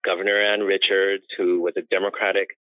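A man's voice: low-pitched, level tone, brisk, no echo. A man being interviewed about his life and work as an interior designer, telling stories at length and laughing often. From United States. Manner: chatty storyteller who laughs often. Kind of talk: conversational interview. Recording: tinny phone-like line, dry.

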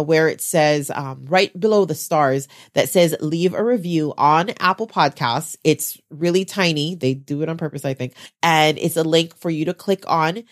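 Stuffed-up woman podcast host, low voice. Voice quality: lower voice than usual. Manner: chatty, says "y'all", fillers. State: stuffed up, getting over the flu. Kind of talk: casual podcast monologue.

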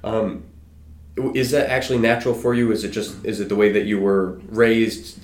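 A man's voice: fairly low pitch, fairly even intonation, moderate pace. A man hosting a casual interview who asks questions conversationally and answers with brief affirmations. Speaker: interviewer, host. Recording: roomy echo.

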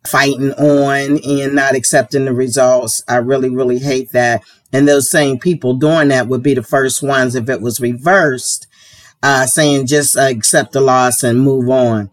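A woman from the United States hosting her own podcast, a little hoarse from a sore throat, talking earnestly and with strong opinions. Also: very deep voice. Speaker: podcast host. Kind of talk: casual solo podcast talk.

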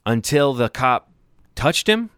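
A man's voice: medium pitch, very animated, slow, a bit bright, no room sound, studio quality. A man co-hosting a podcast, talking through a TV episode in a casual, rambling, analytical way. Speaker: podcast co-host. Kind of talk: casual TV recap discussion.

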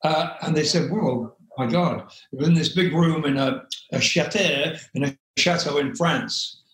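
Rambling older man, loud voice, echoey room. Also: fairly high pitch, unhurried. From England. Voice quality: loud speaking voice. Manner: rambling, laughs often, many fillers. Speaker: singer, interview guest. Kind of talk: relaxed storytelling interview.

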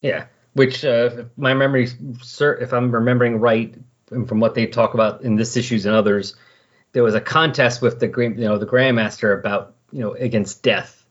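A man with a husky voice chatting casually on a podcast, explaining and recapping in a rambling way. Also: mid-range voice, steady tone, moderate pace. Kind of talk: casual comic book discussion. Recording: dull lo-fi voice recording.

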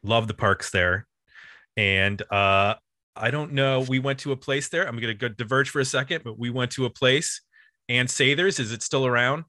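A man's voice: mid-range voice, moderate pace, pushed and bright, dry recording, clean studio sound. A man with a nasal Buffalo, New York accent hosting a casual music podcast in a joking, chatty, digressive way. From US East Coast. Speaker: podcast host.

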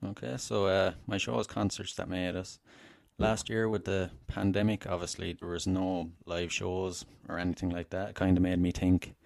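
An Irish man, a podcast guest, talking casually and telling a local legend in a relaxed way. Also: deep voice, normal speed, creaky, no echo, clean studio sound. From Ireland (Wexford). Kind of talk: casual interview storytelling.

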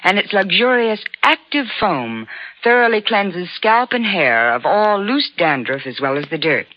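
Radio spokeswoman, very lively pitch. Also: a little slow. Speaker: commercial spokeswoman. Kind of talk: scripted 1940s radio advertising. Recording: dry, dull old radio recording.

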